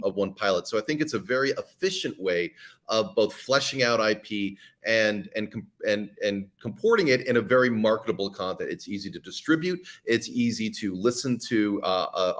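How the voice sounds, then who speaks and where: Low-voiced man talking shop. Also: animated, brisk. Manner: thoughtful, many restarts and fillers. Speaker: podcast creator and guest. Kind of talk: casual interview talk.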